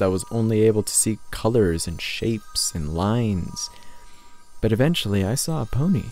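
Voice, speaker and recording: A man voicing a tormented, blinded unicorn pony who recounts his past in an intense, dramatic first-person story reading. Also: lowish voice. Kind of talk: dramatic reading of fan fiction. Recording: loud music under the voice. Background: prominent background music.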